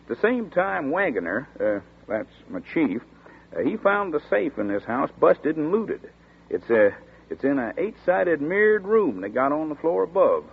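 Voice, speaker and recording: An actor playing a chatty small-town sheriff's deputy who talks in a folksy rural dialect, in 1940s radio acting. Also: male voice, on the high side, sing-song, normal speed, creaky, husky, full character voice. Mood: storytelling. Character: folksy drawling small-town male deputy, middle-aged. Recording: tinny scratchy 1940s radio broadcast.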